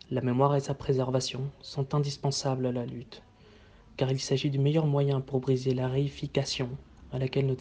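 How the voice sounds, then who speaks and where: Male caller, monotone, mellow dark tone, thoughtful message. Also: mid-range voice, breathy edge. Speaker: caller sending a recorded message. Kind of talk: short recorded message.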